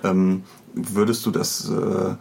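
A man's voice: fairly low pitch, level tone, slow, creaky, a little mellow, slight background noise. A man hosting a podcast, asking interview questions in a casual, conversational way.

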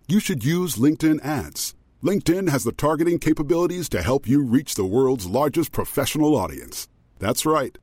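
A man delivers a comic advertisement in a mock-pompous way. His voice is husky and moves dramatically up and down, recorded very dry and close. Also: medium pitch, darkish tone, no room sound. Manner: mock-pompous, tongue-in-cheek. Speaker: advertisement voice-over.

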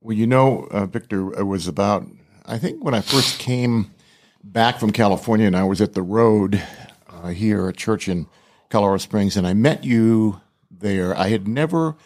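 A man's voice: fairly low pitch, normal speed, darkish tone. Earnest older man talking about ministry. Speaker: ministry leader and guest. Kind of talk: casual interview chat. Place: studio.

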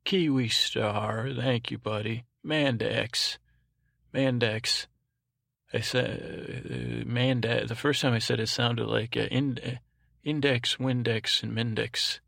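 Man, podcast host, gravelly fry, soporific monotone, meandering bedtime ramble, self-interrupting, dry close sound. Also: mid-range voice, no echo. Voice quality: soothing, soporific voice. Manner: droning, monotone.

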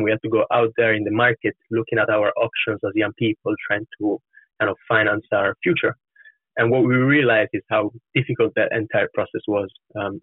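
Young Italian man, flat delivery, roomy line. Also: fairly low pitch. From Florence, Italy. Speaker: startup co-founder, podcast guest. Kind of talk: conversational interview answers. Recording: roomy, narrow muffled call-like sound.

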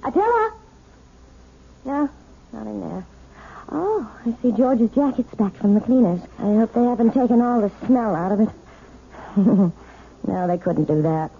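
An actress playing a genteel woman with a sinister secret, speaking sweetly with an eerie calm, in a 1970s radio drama. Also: on the high side, measured pace, creaky, pauses often, exaggerated voice. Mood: cooing. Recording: lo-fi 1970s radio broadcast with hiss.

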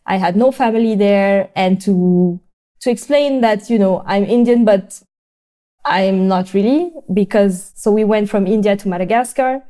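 A woman's voice: mid-range voice, measured pace, warm tone. A young adult woman from France, a podcast guest, telling her story in a hesitant, thoughtful way with many fillers and restarts. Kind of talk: conversational interview.

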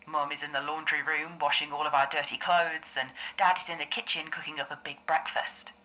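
A woman playing a calm, reassuring therapist in an audio drama, speaking in a measured, explanatory way. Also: low voice, low-quality recording. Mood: calm, explaining. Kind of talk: scripted audio drama dialogue.

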